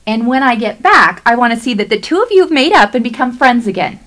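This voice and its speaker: Woman doing a chirpy bossy wife, really high voice, swoopy, directing everybody, radio play. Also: fast talker, noisy background, close to an everyday voice. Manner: animated, directing. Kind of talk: radio theatre acting.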